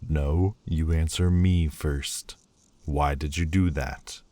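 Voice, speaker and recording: A man playing a deep, slow demon lord. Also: fairly even intonation, mellow, no echo. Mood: bickering. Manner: scornful, slow and deliberate. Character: sullen proud male demon lord. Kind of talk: audio roleplay.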